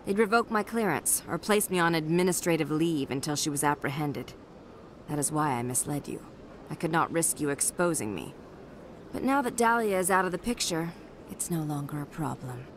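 A calculating female official in a sci-fi game. Her voice is very low for a woman, and she is formal, measured and smoothly polite, with faint ambience behind her. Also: animated, a little hiss or hum. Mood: coolly polite. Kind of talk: video game dialogue.

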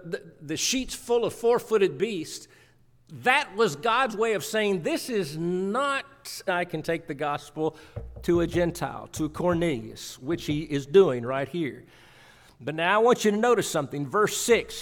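Male preacher, middle-aged, slightly high voice with some gravel, emphatic scripture exposition. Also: a bit bright. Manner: emphatic, dramatic swings, repeats phrases for emphasis. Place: church auditorium, at a pulpit. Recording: reverberant church hall sound.

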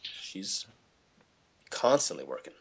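A man, a voice actor, chatting casually in an interview, telling animated stories about his work. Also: sits low, takes it slowly, gravelly, breathy edge. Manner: energetic, animated storyteller who slips into character voices. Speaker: voice actor guest.

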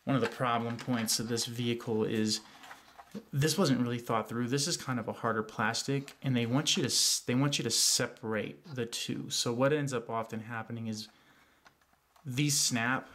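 A man's voice: mid-range voice, fairly even intonation, normal speed, fairly bright tone. A man with a cold, casually reviewing a toy vehicle off camera, rambling with many fillers and restarts. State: congested from a cold.

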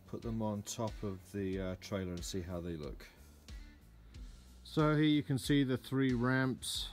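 A man narrating a DIY trailer project in a casual how-to style, relaxed and full of "uh" and "um", recorded outdoors in a yard on a camera mic. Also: mid-range voice. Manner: relaxed, lots of "uh" and "um". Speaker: DIY vlogger.

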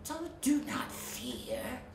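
A woman voicing a sly, sneaky sea snake who coaxes in a soft, whispery voice. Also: on the high side, wildly swooping pitch, extremely slow, recorded from a distance, background noise, exaggerated voice. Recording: roomy echo.

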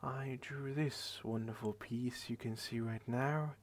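A tired young man hosting a late-night ASMR video, rambling in a soft half-whisper into a headset microphone at his computer desk. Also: medium pitch, steady tone, slow pace. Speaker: channel host.

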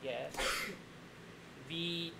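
A calm young man playing a board game in a small room, explaining rules and counting scores, heard from a camera across the table. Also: fairly high pitch, very slow, vocal fry, background noise. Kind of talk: casual game talk.

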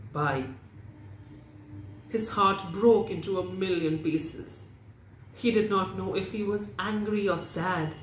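A young man with an Indian English accent reads a scripted story as narrator. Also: sits high. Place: indoor room with bare wall. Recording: roomy echo with background noise.

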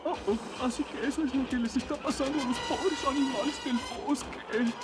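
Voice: sits high, normal speed, lightly acted. A performer voicing a small, cheeky, indignant winged forest creature in a Latin American Spanish cartoon dub. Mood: dismayed. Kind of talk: Spanish-dubbed cartoon voice acting. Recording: loud music behind the voice.

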